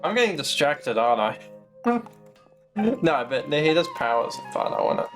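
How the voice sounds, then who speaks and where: A man's voice: fairly high pitch, big pitch swings, normal speed, faint music behind. A man giving casual gameplay commentary as a streamer, chatting back at the game's characters. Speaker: game streamer.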